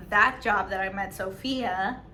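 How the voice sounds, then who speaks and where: A woman's voice: mid-range voice, unhurried, bright, forceful tone. A young woman from Mexico, a podcast host, telling a long personal story in casual chat, full of fillers and restarts. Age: young adult. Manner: rambling, filler-heavy storytelling.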